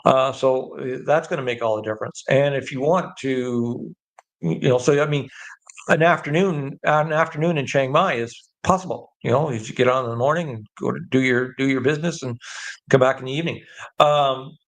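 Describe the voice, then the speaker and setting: A man's voice: medium pitch, moderate pace. An older Canadian man from the Ottawa Valley hosting a casual live stream, rambling with many ums and restarts. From Canada, Ottawa Valley in Ontario. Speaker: livestream host.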